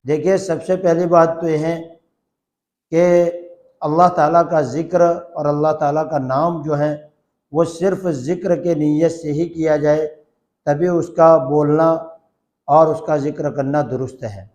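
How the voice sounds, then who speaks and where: A man speaking as an Islamic cleric, explaining a religious ruling in a formal, measured, didactic way and repeating points for emphasis. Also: fairly high pitch, fairly even intonation, darkish tone.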